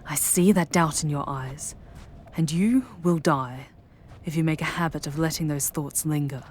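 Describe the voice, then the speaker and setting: A woman voicing a charming, darkly seductive demoness in a scripted ASMR roleplay, speaking in measured, ornate, ominous phrases that drift toward breathy near-whispers. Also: low-pitched, darkish tone, a little hiss or hum, natural voice. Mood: grave, cautioning. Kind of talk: scripted ASMR audio roleplay, dramatic monologue.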